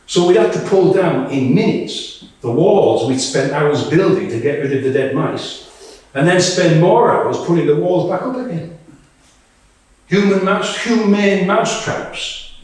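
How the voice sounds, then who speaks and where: A man performs a weary, blunt woman soldier in a stage monologue. The delivery is unhurried, wry and conversational, with some swearing. It is heard from a distance in a very echoey room. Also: a little high, a little mellow, voice pushed up. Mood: disgusted, wry. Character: Tonya, weary, blunt female Ukrainian soldier. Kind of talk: dramatic monologue read to a live audience.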